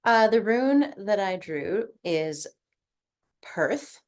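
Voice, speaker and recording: A woman's voice: medium pitch, animated, extremely slow, a little mellow. A woman sharing in a group coaching video call, talking in a casual, reflective way, full of fillers and easy swearing. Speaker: coaching participant.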